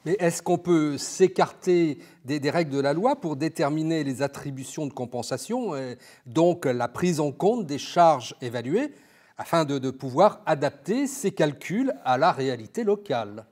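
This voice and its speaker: A man hosting an informational interview, phrasing his questions haltingly and repeating words as he goes. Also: on the high side, expressive, a little mellow. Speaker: interviewer and host of an information segment. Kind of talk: interview on local government finance.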